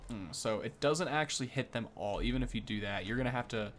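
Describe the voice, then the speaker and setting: A young man commentates on a video game in a casual, unscripted way. His voice is medium-pitched and he thinks out loud informally, with music playing clearly behind him. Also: quick pace. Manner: informal, thinking aloud as he tests. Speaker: gaming video host.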